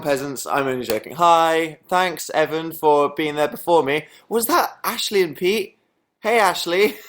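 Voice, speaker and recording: Sarcastic young Englishman chatting live. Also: fairly high pitch. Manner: sarcastic, deadpan self-mocking jokes. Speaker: livestream host. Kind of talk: casual live chat reading viewer comments.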